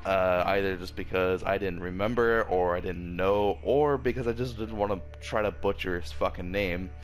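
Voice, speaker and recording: Man, very low voice, sore throat, rambling casual review, lots of uh and restarts, faint music behind. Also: normal speed. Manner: rambling, many fillers and restarts. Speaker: anime reviewer.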